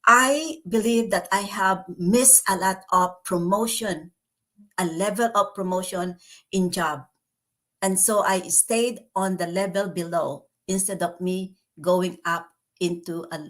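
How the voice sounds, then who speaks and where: A woman's voice: lowish voice, unhurried, a bit bright. A chatty, cheerful woman with a Filipino accent, whose first language is Tagalog, talking casually to her viewers. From Philippines. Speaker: vlogger and business mentor.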